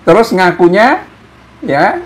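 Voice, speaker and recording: Higher-voiced man, mocking political commentator. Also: sing-song, slow pace. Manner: mocking, with rhetorical questions and tag questions. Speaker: commentator hosting an opinion show. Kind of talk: informal political commentary to viewers.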